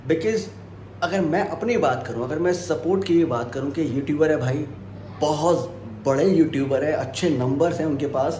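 A young man with a sore throat chats casually to his viewers, his voice sitting a bit high for a man. The handheld camera also catches a faint hiss of background noise. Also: normal speed, mellow. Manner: chatty, addresses viewers directly, mixes English words in. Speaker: vlogger. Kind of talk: casual vlog chat to viewers. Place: home and hotel, indoors.

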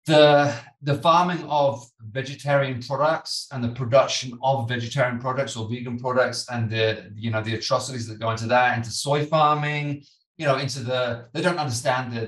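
A British man in his thirties hosting a podcast over a video call, talking casually and animatedly, with many restarts. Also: medium pitch. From Britain. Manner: animated, gestures with his hands, frequent restarts. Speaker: podcast host. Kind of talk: casual conversation. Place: home office, video call. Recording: echoey room, mic at a distance.

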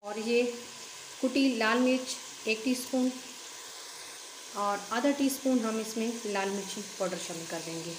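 A woman's voice: on the high side, unhurried, background noise. Woman cooking host, echoey kitchen. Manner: calm, methodical instruction. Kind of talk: step-by-step recipe voice-over. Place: home kitchen.

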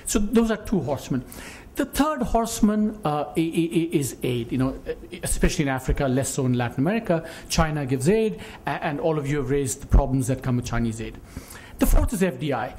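A man's voice: fairly high pitch, a little mellow. A middle-aged man giving emphatic panel remarks, often repeating words as he starts a phrase. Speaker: panelist, economist. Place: indoor conference panel stage. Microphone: gooseneck table microphone. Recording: clearly noisy conference room recording.

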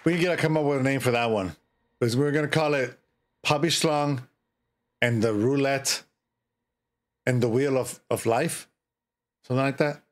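A middle-aged man reading a horror story aloud in a theatrical, halting way, often repeating phrases. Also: mid-range voice, a faint crowd. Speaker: streamer, horror story reader. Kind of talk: dramatic storytelling read aloud.

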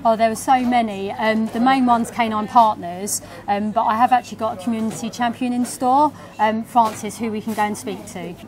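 A woman's voice: on the high side, steady tone, fairly quick, few pauses. A woman in her forties, a retail manager, talking in a friendly, chatty way with frequent ums during an on-site interview in a shop. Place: convenience store, indoors. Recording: noisy shop background. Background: shop ambience.

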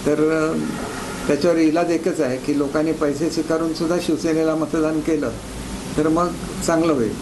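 A man's voice: fairly high pitch, steady tone, normal speed. A man with a Marathi accent making unscripted political remarks. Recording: clear background noise.

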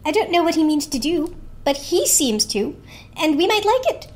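A woman's voice: very high voice, very animated, normal speed, voice pushed up. A woman voicing an eager, doting female squirrel in a storybook, gushing and coaxing in a genteel way. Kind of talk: dramatic children's storybook reading.

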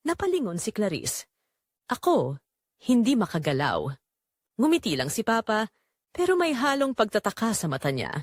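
A woman reading a scripted first-person dramatic story in a measured, expressive storytelling manner. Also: on the high side, no echo, clean studio sound. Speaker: narrator.

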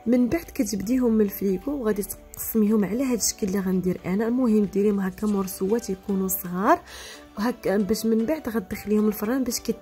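A woman's voice: medium pitch, rapid-fire, warm tone, soft background music. A young woman with a Moroccan Arabic accent hosting a cooking tutorial, chatty and practical, talking straight to viewers. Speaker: cooking channel host.

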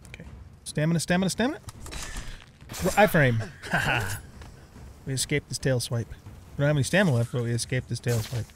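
A man's voice: medium pitch, expressive, a little fast, pauses often, slight background noise. A middle-aged man giving casual, reactive gameplay commentary, talking half to himself and half to the game. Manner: talks to himself and to the game, mild swearing. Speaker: let's play host.

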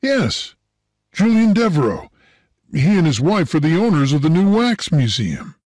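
A man's voice: on the high side, big pitch swings, unhurried, darkish tone, no room sound, studio quality. A man playing a helpful, obliging port clerk in storybook dialogue. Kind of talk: scripted audiobook dialogue.

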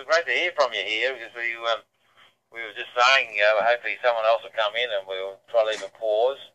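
A man's voice: mid-range voice, fairly bright tone. A man talks casually as an amateur radio operator, heard over two-way digital radio. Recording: muffled radio speaker picked up indoors.

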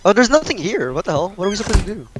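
Scared gamer guy, voice getting hoarse, pitch swings wildly, noisy mic. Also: sing-song, rapid-fire. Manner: panicky, screaming and laughing. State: voice strained from screaming. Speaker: co-op game player. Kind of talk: casual co-op gaming chat.

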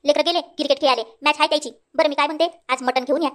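A woman voicing a sharp-tongued, bossy village wife in a comedy cartoon, scolding in rural Marathi of Vidarbha. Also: sits high, fairly even intonation, racing, natural voice. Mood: matter-of-fact. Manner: scolding, nagging.

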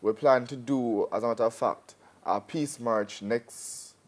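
A young man explaining things in a measured, somewhat hesitant way as an interview guest. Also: medium pitch. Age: young adult. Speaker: student leader, interview guest. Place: television studio. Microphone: lapel.

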